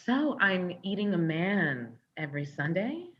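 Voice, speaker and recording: A woman's voice: lowish voice, sing-song, measured pace, slightly rough, heavily acted. A woman playing a candid, placating character in a comedic table read, speaking conversationally, on a slightly dull recording. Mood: friendly, confiding.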